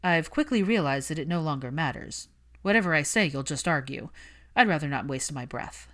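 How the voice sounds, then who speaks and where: Audiobook role: posh, icy young man read by a woman, low voice, dry clipped delivery, easily irritated. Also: expressive, fairly quick, a bit bright. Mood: bored, curt. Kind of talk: audiobook character dialogue.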